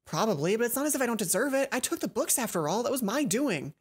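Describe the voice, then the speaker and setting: Woman putting on an earnest, higher-pitched schoolgirl. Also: very animated, fast talker, husky. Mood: guilty, resolute. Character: earnest, emotional schoolgirl friend, secretive and guilt-ridden.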